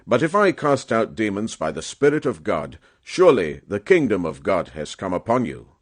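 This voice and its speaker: Guy doing a stern preacher-teacher in a bible audiobook, lowish voice, big swings, forceful and solemn. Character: stern, authoritative male holy teacher rebuking crowds. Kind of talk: dramatic scripture audiobook.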